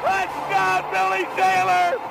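A man calling a game in excited, shouted play-by-play on a noisy archive radio broadcast. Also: extremely high pitch, slow, gravelly, over loud music, over a noisy crowd. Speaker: sports radio play-by-play commentator.

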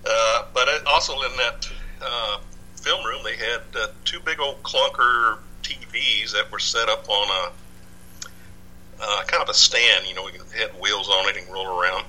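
Guest: older man, low voice, piercing bright tone, rambling casual delivery. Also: unhurried, a little hiss or hum, low-quality recording. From Dallas, Texas. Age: 60s. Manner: rambling, many fillers and restarts. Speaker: podcast guest, researcher. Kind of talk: casual interview.

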